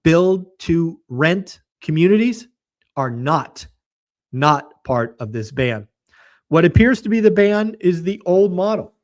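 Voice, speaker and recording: A man with a slightly raised pitch, his voice a little hoarse as if it is fading. He hosts a real estate show and delivers market commentary in a blunt, emphatic way, with a mocking edge. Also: big pitch swings, takes it slowly.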